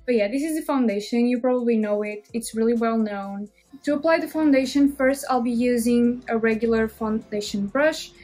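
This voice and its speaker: Portuguese-accented woman in her twenties, fairly high but mellow voice, beauty vlogger talking to camera, faint music underneath. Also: moderate pace. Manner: friendly, chatty, explaining step by step. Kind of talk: makeup tutorial talk to camera. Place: small bedroom indoors.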